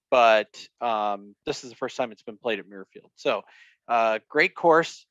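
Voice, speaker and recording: A man's voice: medium pitch, a bit bright, dry recording, poor audio. Man co-hosting a golf podcast. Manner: hesitant, many fillers. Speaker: podcast co-host. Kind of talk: casual sports chat.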